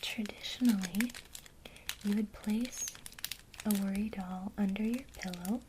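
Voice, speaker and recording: Woman, ASMR guide, unhurried soft whisper, faint crinkle behind. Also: mid-range voice, noisy background. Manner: soft unvoiced whisper, slow and calm. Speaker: ASMR creator teaching witchcraft.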